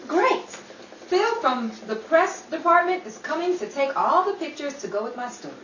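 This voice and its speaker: A woman's voice: high-pitched, very animated, moderate pace, fairly bright tone. A woman playing a chipper, literal-minded 1950s sidekick in an old time radio comedy, with short, matter-of-fact answers. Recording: echoey, distant, faintly noisy.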